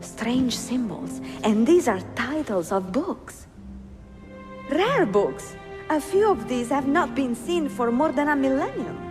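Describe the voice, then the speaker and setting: An actress playing a cultured, curious young bookseller in a game cutscene, speaking expressively with an Italian accent. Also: female voice, high-pitched, animated, slow pace. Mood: intrigued. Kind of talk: dramatic video game cutscene acting. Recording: clear music behind the voice.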